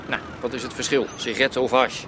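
A man's voice: medium pitch, flat delivery, fast, lightly acted. Radio play casting: businesslike gangster, male actor, medium voice, matter-of-fact authority, recorded amid noticeable ambient sound. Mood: firm, businesslike. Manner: terse, commanding, matter-of-fact. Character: cool, businesslike gangster arranging smuggling deals. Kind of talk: Dutch radio drama acting. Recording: clear ambient noise behind voice.

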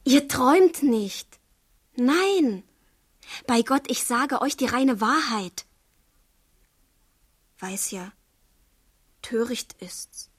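An actress playing an earnest, lovestruck young girl in a radio drama, speaking softly and hesitantly with a breathy voice. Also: on the high side, animated, extremely slow, long pauses, exaggerated voice. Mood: fervent. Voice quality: breathy, soft. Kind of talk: literary radio drama acting.

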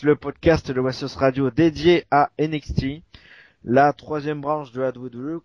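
A man's voice: mid-range voice, a little mellow, no room sound, lo-fi sound. A man with a southwestern French accent hosting a casual podcast, chatty and full of filler sounds. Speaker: podcast host.

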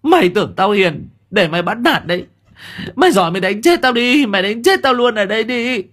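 A man putting on a high voice for a defiant, sharp-tongued young wife. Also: lively intonation, takes it slowly, breathy edge, heavily acted. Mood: enraged. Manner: shrill, stammering then railing.

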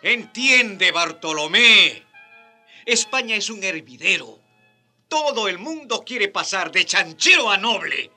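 A self-justifying king in a radio drama, with a high, sharp voice that swoops widely. He takes his time, dismissive and condescending, in a theatrical style. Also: expressive, slow pace, soft background music, full character voice. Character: evasive, self-justifying, pragmatic King of Spain.

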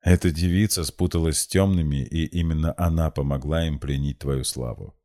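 Man playing a grim, dark-voiced blunt seer. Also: very deep voice, fairly even intonation, moderate pace. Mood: accusing. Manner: gloomy, matter-of-fact. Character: grim, blunt man who reads minds.

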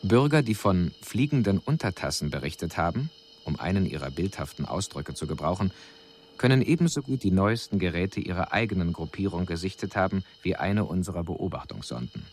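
An actor playing an alien envoy who delivers a measured, formal, analytical report in a scripted radio drama. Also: male voice, sits low, animated, a little mellow, slight background noise. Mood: pointed, factual. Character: male alien envoy soberly reporting on a doomed planet.

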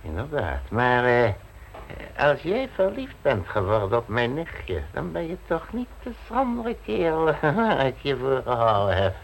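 An actor playing a blustering, skeptical aristocratic lord in a radio play, incredulous and gruff. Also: male voice, mid-range voice, wildly swooping pitch, measured pace, slight background noise, exaggerated voice, rough recording.

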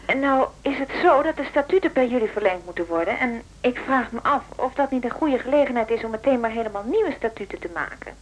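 An actress playing a brisk, scheming younger woman activist in a Dutch radio drama, chatty and insistent. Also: fairly high pitch, animated, poor audio. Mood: breezy, persuasive. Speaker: actor in radio play.

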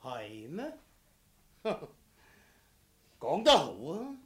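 A man's voice: sits high, wildly swooping pitch, extremely slow, full character voice. A man acting a vengeful, sardonic swordsman in a Cantonese radio drama, with a mock-courteous, taunting, theatrical manner. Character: vengeful, sardonic swordsman come to settle a blood debt.

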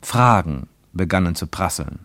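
A man narrating a literary radio drama in a measured, deliberate way. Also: deep voice, lively intonation, fairly bright tone, no echo.

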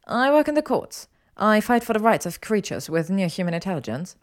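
An earnest, brainy young witch acted out by a woman narrator in an audio story. She speaks articulately and with animation, a little higher than plain narration. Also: big pitch swings, brisk, lightly acted.

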